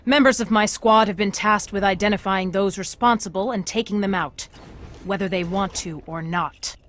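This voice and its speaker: A woman's voice: mid-range voice, moderate pace, fairly bright tone, slight background noise, lightly acted. A woman playing a stern middle-aged government commander in a video game, speaking with authority and dry sarcasm. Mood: brisk, official. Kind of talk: scripted video game acting.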